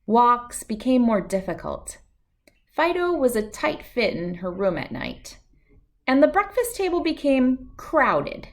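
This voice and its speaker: Slow, lively woman reading a storybook. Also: on the high side. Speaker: children's book read-aloud host. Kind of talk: expressive storybook narration.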